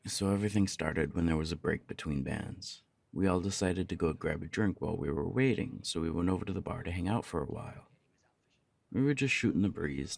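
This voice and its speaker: A man playing a jealous, lovesick young punk roommate in an ASMR roleplay audio drama, speaking in a halting, confessional way with swearing. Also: very deep voice, vocal fry, exaggerated voice.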